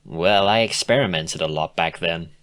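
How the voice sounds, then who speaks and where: A man's voice: sits low, moderate pace, a bit bright. Sardonic young mare, acted by a man, put-upon, dry, exasperated, storybook reading. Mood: wry.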